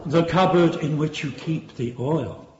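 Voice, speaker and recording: A man's voice: fairly high pitch, a little slow, crowd noise, natural voice. An actor playing a stern, sceptical male Victorian prosecuting barrister in a radio courtroom drama, questioning formally and pointedly. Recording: roomy, slightly muffled courtroom sound.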